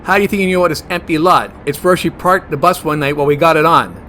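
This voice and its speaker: A man plays a fussy, germ-phobic monster hunter in a comedy horror audio drama. His voice sits fairly high. He argues earnestly and stubbornly, over faint background noise. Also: quick pace, pushed and bright. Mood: insistent.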